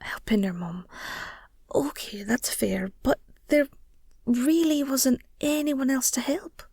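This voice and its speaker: A woman's voice: high-pitched, expressive, slow pace, gravelly, darkish tone, slightly rough, no room sound, studio quality, exaggerated voice. A woman playing an affectionate, playful and protective werewolf girlfriend in an intimate audio roleplay, tender and teasing, often murmuring or whispering. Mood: concerned, gentle.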